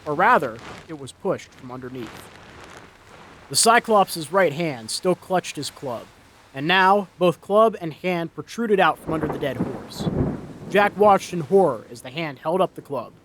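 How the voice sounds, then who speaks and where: Guy narrating a dramatic story, really varied pitch, noisy effects behind him. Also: lively intonation, a little slow, fairly bright tone. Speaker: narrator, reader. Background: story sound effects.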